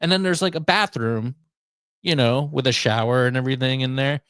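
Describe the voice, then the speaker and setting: A man talks casually as a radio show host. He rambles and jokes in crude terms, sounding incredulous. His voice sits at a medium pitch, captured dry with hardly any room sound. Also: brisk, fairly bright tone, clear, resonant tone, dry recording. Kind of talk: casual comic chat.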